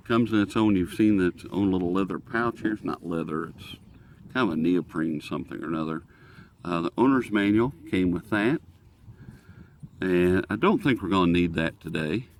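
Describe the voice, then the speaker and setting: An American man with a low-pitched voice talks through a product review in a casual, unscripted way. He is halting, often thinking aloud as he works through the details. He is speaking outdoors. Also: a little hiss or hum. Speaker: host of a radio review video.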